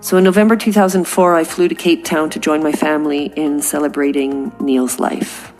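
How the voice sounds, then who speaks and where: A woman's voice: sits low, steady tone, normal speed, a little mellow, soft background music, poor audio. A Canadian woman in her forties hosting a podcast, telling a personal story in an earnest, reflective way. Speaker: podcast host. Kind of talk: scripted podcast monologue.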